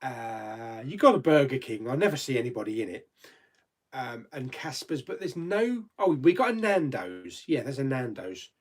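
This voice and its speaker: A man's voice: mid-range voice, sing-song, normal speed. A man hosting a casual live chat, rambling and reading out viewer comments, laughing easily and swearing mildly.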